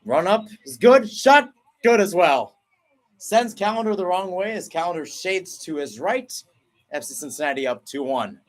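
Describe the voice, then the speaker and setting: Excited young man calling a penalty shootout. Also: moderate pace. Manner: energetic, jumps in pitch and volume at each kick. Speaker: radio play-by-play announcer. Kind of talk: excited sports commentary. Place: at home, not at the stadium.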